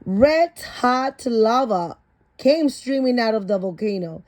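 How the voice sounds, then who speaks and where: Slow-paced woman reading a picture book aloud. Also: fairly high pitch, lively intonation, darkish tone. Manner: stumbles over harder words and corrects herself. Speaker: story reader.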